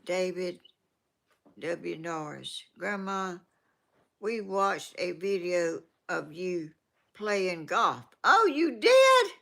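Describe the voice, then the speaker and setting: Slow gravelly Georgia grandma in her eighties. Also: on the low side, sing-song, pushed and bright. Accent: Southern US, Georgia. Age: 80s. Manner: slow rambling, trails off and restarts. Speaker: vlogger reading viewer comments. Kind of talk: casual rambling chat.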